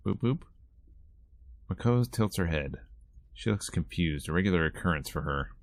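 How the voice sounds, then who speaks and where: A man streaming a visual novel, reading the narration aloud in a conversational, expressive way. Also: low voice, a little fast, no echo. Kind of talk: game let's-play, reading narration aloud.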